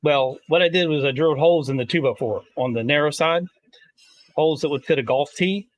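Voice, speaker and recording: A man chatting on a livestream, joking in a deadpan way. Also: on the high side, fairly quick, no echo. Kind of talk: casual livestream chat.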